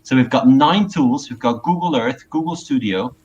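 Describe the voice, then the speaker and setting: A man's voice: medium pitch, animated, normal speed, darkish tone. A man co-hosting a live online webinar, presenting and chatting over a video call from a small room. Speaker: co-host and presenter. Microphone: wired earphones on a video call. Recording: dull, noisy video call audio.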